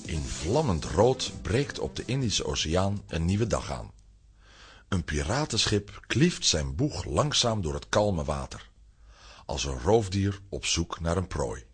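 Male narrator for a children's radio play: very husky, deep, suspenseful, swooping theatrical delivery. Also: very animated, slow. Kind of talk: dramatic scripted storytelling for children's audio drama.

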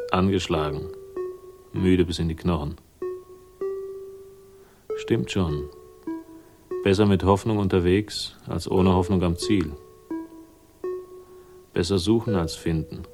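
An actor playing a world-weary, sardonic crime narrator in a late-sixties radio drama, speaking in a dry, laconic way. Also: male voice, low-pitched. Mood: brooding, weary. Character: weary, battered, sardonic male crime-story narrator bent on revenge. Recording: 1960s radio production, music behind.

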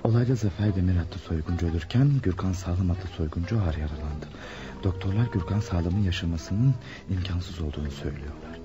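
A man's voice: low-pitched, a little fast, music in the background, natural voice. An actor playing a nervous ordinary man caught up in a crime in a radio drama, hesitant and flustered. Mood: alarmed. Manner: muttering to himself, hesitant, flustered. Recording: dull lo-fi radio recording.

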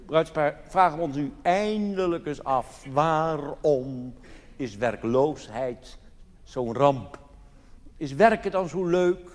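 A man's voice: fairly high pitch, animated, slow. A live recording from a big echoing hall with some noise in it. A male cabaret comedian delivers a monologue in a mock-solemn, theatrical manner, his intonation swinging widely as he poses rhetorical questions and tells anecdotes. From Netherlands. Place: theatre stage in a large hall.

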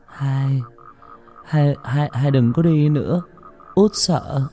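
A man's voice: mid-range voice, fairly quick, a little mellow, pitched above the performer's own voice, lightly acted. A man voicing a frightened young child in a horror story, stammering, pleading and wailing, with music behind. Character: frightened young child crying out for father and sister.

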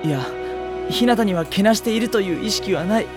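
A man's voice: high-pitched, fairly quick, music in the background, lightly acted. An actor voicing a blunt, irritable teenage boy on a volleyball team, giving dry retorts. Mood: deadpan. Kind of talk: anime drama CD acting.

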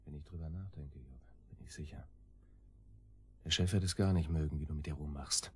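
A man's voice: rumbling low, brisk, husky, full character voice. An actor playing an older, cautious henchman in a radio drama, hesitant and brooding as he reasons things through. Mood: brooding doubt.

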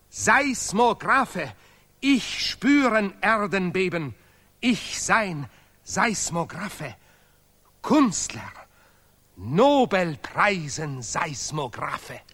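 A vain, pompous artist declaims in a stylized radio play. His voice is high and bright, with big swings of pitch. He hammers out broken, repeated phrases with great self-importance. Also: husky, full character voice. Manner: declamatory, emphatic, chanting broken German with repetitions. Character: pompous male Nobel laureate artist, vain and touchy. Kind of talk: stylized avant-garde radio play acting.

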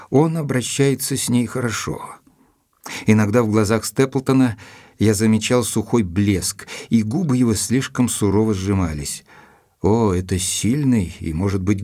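An actor playing an earnest, educated gentleman who narrates his letters in a measured, expressive way for an audio drama. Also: fairly low pitch, dry recording, clean studio sound, close to an everyday voice. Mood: thoughtful, concerned. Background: music.

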